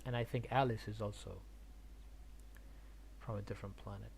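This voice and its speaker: A man from Argentina chatting casually on a game stream, haltingly thinking aloud and repeating words. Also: lowish voice, fairly even intonation, creaky, background noise. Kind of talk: casual rambling stream chat about games.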